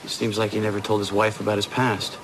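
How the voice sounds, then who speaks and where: Actor as inquisitive detective partner, lowish voice. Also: male voice, little pitch movement, a little fast, fairly bright tone, slight background noise, lightly acted, rough recording. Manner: casual, questioning. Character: male police detective partner, inquisitive, plain-spoken. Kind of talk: audio drama acting.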